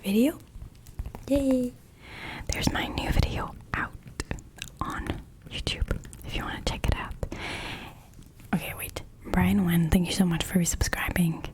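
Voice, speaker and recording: A woman's voice: on the low side, expressive, unhurried, pauses often, low-quality recording. A woman streamer who whispers casual stories and chat replies, often giggling and sometimes switching into French. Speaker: livestreamer reading chat.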